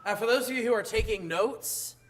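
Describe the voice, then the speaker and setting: Hoarse high-voiced man preaching. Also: slight background noise. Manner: halting, frequent uh fillers and restarts. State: hoarse, rough voice from illness. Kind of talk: sermon. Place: church.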